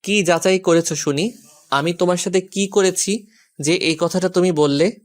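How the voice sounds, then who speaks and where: A man's voice: sits high, moderate pace, close to an everyday voice. A man playing a flustered, exasperated young husband who pleads and gives in, in a romantic audio story.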